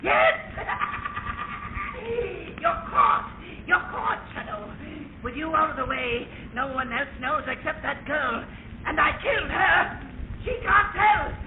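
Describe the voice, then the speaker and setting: An actor playing an unhinged, gloating murderer in an old-time radio thriller, shrill and repetitive. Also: male voice, lively intonation, slow pace, reverberant, full character voice. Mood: manic triumph. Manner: gloating, repetitive, maniacal laughter. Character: crazed gloating male murderer cornering his enemy, unhinged. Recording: very noisy lo-fi old radio recording.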